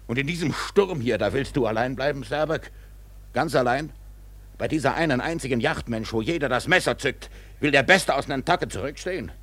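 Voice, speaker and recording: An actor playing an obsessed, domineering old whaling captain in a radio drama, gravelly and declamatory with brooding pauses. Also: male voice, medium pitch, a bit bright. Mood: goading, fierce. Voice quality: gravelly, husky. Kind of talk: German radio drama acting.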